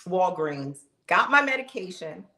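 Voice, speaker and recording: A middle-aged woman streaming live, telling viewers her own story in a casual, animated way and talking straight to them. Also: lowish voice, unhurried, roomy sound. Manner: animated, talks straight to the audience, exclaims, laughs. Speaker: live streamer sharing her health journey.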